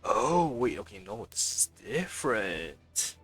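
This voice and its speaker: A man's voice: lowish voice, very animated, takes it slowly, a bit bright, husky, soft background music. A man doing casual let's play commentary and reading game text aloud as a streamer.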